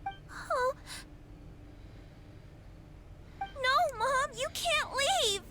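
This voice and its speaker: Squeaky little game sidekick, high and bouncy, huffy exclamations, slow delivery. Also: female voice, lively intonation, pushed and bright, a little hiss or hum, full character voice. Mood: upset. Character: tiny floating girl companion, loud and excitable.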